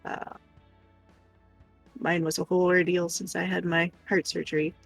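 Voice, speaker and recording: A woman's voice: fairly low pitch, flat delivery, normal speed, vocal fry, dry recording, soft background music. An American woman co-hosting a livestream, chatting casually with viewers. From United States. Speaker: livestream co-host.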